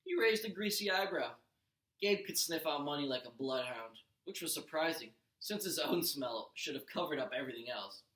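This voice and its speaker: Bright-voiced man reading aloud in an echoey room. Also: fairly high pitch, normal speed. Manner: expressive, stumbles and corrects himself. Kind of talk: animated children's audiobook read-aloud.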